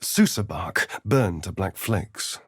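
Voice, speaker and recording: Sardonic vampire rogue, video game character, suave drawl, swooping intonation, unhurried. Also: male voice, sits low, expressive, husky, dry recording, clean studio sound, full character voice. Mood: hushed narration. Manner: arch, drawling, theatrical.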